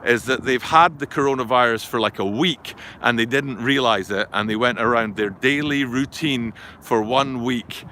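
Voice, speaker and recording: A man's voice: medium pitch, moderate pace, few pauses, pushed and bright. A man filming a live outdoor vlog, talking in a rambling, chatty way and often correcting himself. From Scotland. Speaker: vlogger. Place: outdoors by a river and highway in a city. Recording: faint outdoor street noise. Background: traffic and city ambience.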